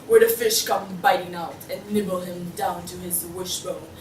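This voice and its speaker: A woman's voice: lowish voice, steady tone, normal speed, natural voice. A young woman playing a poetic narrator of dreams, reciting dramatically in a table read. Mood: dramatic. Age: young adult. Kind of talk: table read of a radio play. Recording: echoey room, distant mic, faint noise.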